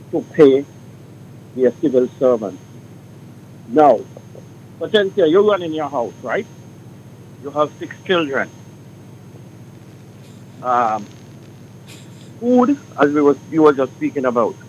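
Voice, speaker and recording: Man caller, very slow deliberate speech, muffled line, faint rumble. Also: darkish tone. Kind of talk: call-in radio comment.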